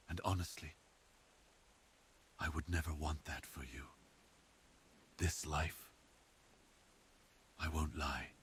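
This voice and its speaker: An exceptionally deep, slow-speaking male werewolf boyfriend, acted by a man in an ASMR roleplay. He is devoted and protective, choosing his words with earnest, reassuring care. Faint noise sits behind the intimate monologue. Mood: earnest, tender.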